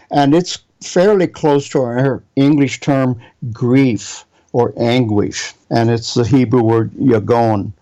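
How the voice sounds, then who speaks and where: A man's voice: medium pitch, mellow, muffled recording. A man preaching a Bible teaching sermon, reading scripture aloud and spelling out Hebrew words.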